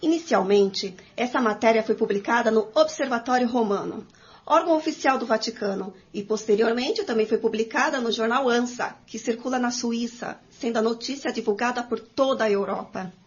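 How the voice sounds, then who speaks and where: A woman's voice: a little high, moderate pace, husky. A woman with a Brazilian accent playing an earnest spiritist in a radio drama, reading formally and with emphasis. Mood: earnest, affirming. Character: earnest, affirming female spiritist group member. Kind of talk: amateur radio drama acting. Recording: dull lo-fi.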